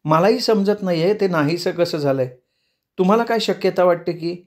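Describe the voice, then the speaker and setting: Nervous young woman, high and fretful, read by a male narrator. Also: normal speed, a little mellow, natural voice. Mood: anxious. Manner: fretful, pleading. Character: frightened young woman, anxious and jittery.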